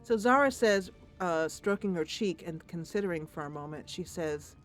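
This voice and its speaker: A woman narrating a roleplayed game as its host, in an expressive, deliberate storytelling style. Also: fairly low pitch, faint music behind. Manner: deliberate, theatrical.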